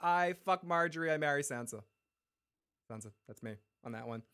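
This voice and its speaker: A man's voice: a little high, expressive, moderate pace, a bit bright, smooth. A man co-hosting a casual fan podcast, loose and profane, laughing often and reading out listener messages. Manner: reads listener messages aloud. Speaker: podcast co-host.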